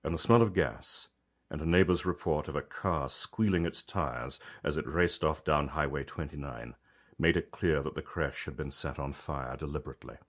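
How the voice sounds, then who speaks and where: Male author-narrator, low voice, reflective conversational storytelling, measured delivery. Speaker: author reading own story.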